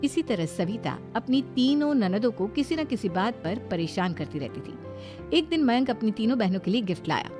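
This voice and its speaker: A woman's voice: fairly high pitch, big pitch swings, moderate pace, music in the background. A woman storyteller reading scripted narration for a cartoon moral tale.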